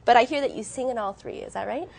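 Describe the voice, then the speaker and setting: A woman's voice: a little high, quick pace, gravelly, darkish tone. A woman hosting a casual interview, a little sick, who laughs often and exclaims with delight. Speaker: talk show host.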